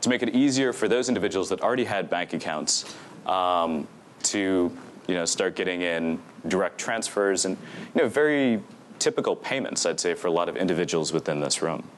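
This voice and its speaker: A young man with a deep, low voice delivers an academic talk from a podium microphone in a lecture hall. He speaks fluently and steadily, dropping in frequent "um"s and "you know"s as he goes. A faint hum of room ambience sits behind him. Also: normal speed. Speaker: conference speaker. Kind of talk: academic presentation.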